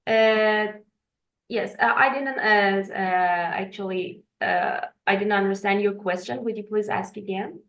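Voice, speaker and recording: A woman's voice: mid-range voice, measured pace, a bit bright, highs cut off. A woman, a Farsi speaker, who speaks English hesitantly with many fillers as an interview guest. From Iran. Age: 40s. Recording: echoey room.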